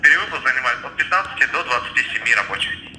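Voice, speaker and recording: A man rattles through a formal call-centre script in an ordinary mid-pitched voice. He is officious and insistent, leaning on stock bureaucratic phrases. The phone recording is echoey and clearly noisy. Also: lively intonation, very fast, pushed and bright. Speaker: phone scammer posing as bank call-centre agent. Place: telephone line.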